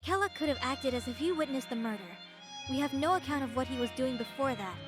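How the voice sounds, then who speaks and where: A woman's voice: sits high, fast, music in the background, close to an everyday voice. A woman playing a composed, inquisitive young nun in a fantasy visual novel, speaking politely and firmly. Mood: firm, probing. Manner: polite, probing, firm. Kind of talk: visual novel character acting.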